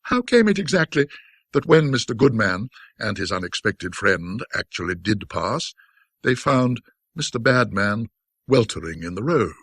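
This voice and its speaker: A low-voiced man reads ghost stories in an old-fashioned, theatrical literary manner. His voice is husky and moves expressively up and down, with scholarly wryness and well-placed pauses. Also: very animated, fairly bright tone, no room sound, clean studio sound. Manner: wry, scholarly, self-deprecating storyteller, with dramatic pauses. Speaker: narrator of classic ghost stories.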